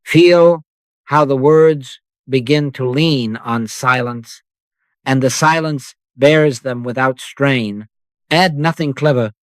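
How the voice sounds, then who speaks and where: Slow, soft-spoken man guiding sleep meditation. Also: medium pitch, dry recording. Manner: soft, unhurried, with long pauses. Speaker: guided meditation narrator.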